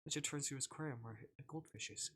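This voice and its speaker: A man's voice: medium pitch, very fast, a bit bright, breathy edge. A man streaming a visual novel, reading narration aloud and thinking through choices hesitantly as he plays. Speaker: game streamer.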